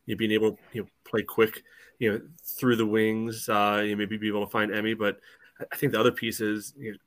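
A man's voice: lowish voice, completely flat delivery, fast, a bit bright, no room sound. A man giving casual soccer analysis as a podcast panelist, in a conversational, hedging way.

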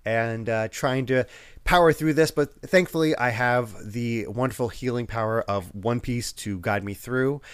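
A man's voice: mid-range voice, a little slow, clear, resonant tone, dry recording. A man hosting a casual podcast, a little congested from a head cold, laughing often as he chats. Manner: laughing, animated, acts out quotes. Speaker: podcast host.

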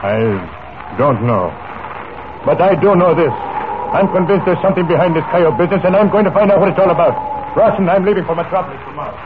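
Hearty rancher on tinny old radio. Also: male voice, high voice, expressive, fast. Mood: grave, determined. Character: Western rancher, proud, hearty, fond of old ways. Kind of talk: 1940s radio acting. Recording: scratchy, tinny 1940s radio recording.

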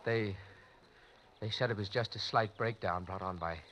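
A man's voice: fairly low pitch, moderate pace, a little hiss or hum, natural voice. A man playing a young former law student who breaks into a murderous frenzy, in a 1940s radio drama. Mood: haunted, subdued. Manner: quiet haunted telling that erupts into screaming threats.

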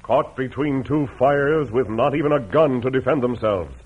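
A man's voice: medium pitch, moderate pace. An American man narrating a radio western as its announcer, in a dramatic vintage scripted style. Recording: tinny, narrow old radio recording. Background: music.

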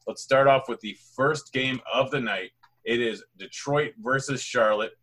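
A man hosts a fantasy basketball podcast with a bright, forceful voice. He runs through sponsor reads and matchups in an upbeat, rapid-fire way. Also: medium pitch, husky. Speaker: podcast host.

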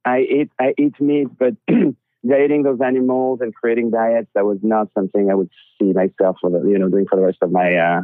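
A man with a French accent, a winemaker guest on a podcast, talking in a halting way with many fillers and restarts. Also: on the low side, fairly even intonation, no room sound. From France. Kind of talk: podcast interview. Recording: tinny phone-like line.

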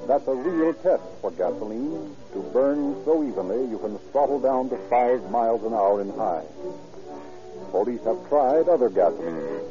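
Male radio pitchman, medium pitch, emphatic formal salesmanship, 1930s advertising style, muffled old recording, music behind. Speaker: announcer and sponsor pitchman. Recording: dull vintage radio recording.

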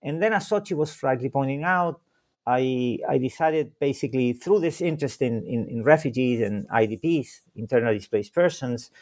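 A man's voice: medium pitch, moderate pace, darkish tone. Hesitant man from Chile, many restarts. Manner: hesitant, many repeated words and fillers. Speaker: guest scholar. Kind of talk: conversational interview.

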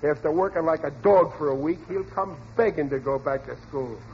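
A man's voice: on the high side, moderate pace, a little hiss or hum, close to an everyday voice. A man with an American accent playing a blustering, uneducated but well-meaning middle-aged father in a 1940s radio comedy, stammering and repeating himself, on an old muffled recording. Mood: scheming, confident.

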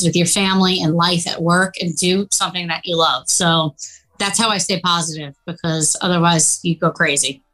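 A woman's voice: fairly low pitch, fairly bright tone, low-quality recording. Upbeat young New woman. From New York City. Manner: upbeat, giggly, says "like" often. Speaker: real estate agent guest. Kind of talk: casual interview chat.

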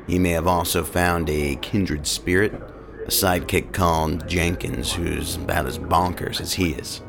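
An actor playing a matter-of-fact investigator reporting in a science fiction audio drama, with a laconic, measured delivery. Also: male voice, sits low, fairly even intonation. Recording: clear background ambience noise.

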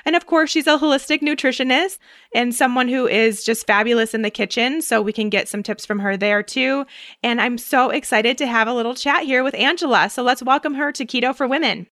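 A woman's voice: a little high, a little fast, a bit bright, no echo, clean studio sound. A woman hosting a casual interview, talking in an enthusiastic, gushing way. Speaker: podcast host.

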